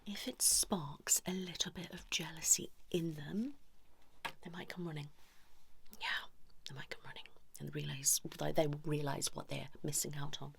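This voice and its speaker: A British woman doing a whispered ASMR role-play as a chatty, cheeky couples' therapist, breathy and soft. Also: deep voice, steady tone, normal speed, slight background noise. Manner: chatty, cheeky, improvised asides to imagined clients.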